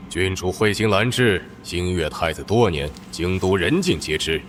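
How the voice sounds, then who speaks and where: Smooth scheming emperor, deep voice. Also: male voice, lively intonation, normal speed, a little hiss or hum. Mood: genial.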